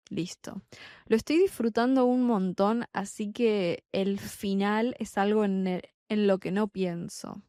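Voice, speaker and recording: Slow, deliberate Buenos Aires woman. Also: medium pitch, darkish tone, no room sound, clean studio sound. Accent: Buenos Aires Spanish. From Argentina. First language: Spanish. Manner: slow, deliberate, clearly spaced phrasing. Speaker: Spanish tutor. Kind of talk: slow podcast monologue for learners.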